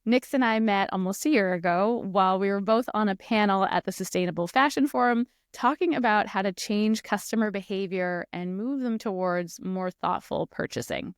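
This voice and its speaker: Upbeat woman podcast host, smooth voice. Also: medium pitch, normal speed, few pauses, no room sound, studio quality. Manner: upbeat, enthusiastic questioning. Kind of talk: podcast intro and interview questions.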